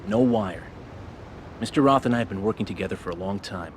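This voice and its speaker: A male actor as a scheming American government agent in a game drama. His low voice is guarded and insistent, with clear rumbling street noise around him. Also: brisk, lightly acted. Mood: reassuring. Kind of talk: dramatic game cutscene acting. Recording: outdoor urban rumble and traffic noise.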